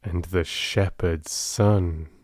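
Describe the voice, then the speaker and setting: A man reading a storybook tale aloud as an audiobook narrator, with expressive, dramatic and deliberate delivery. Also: sits low, dry recording, clean studio sound.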